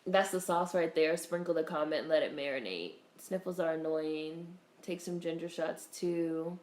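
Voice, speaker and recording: A woman hosting a casual livestream, greeting viewers in a relaxed, chatty way while congested with a cold. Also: low-pitched, moderate pace, echoey room. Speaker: livestream host.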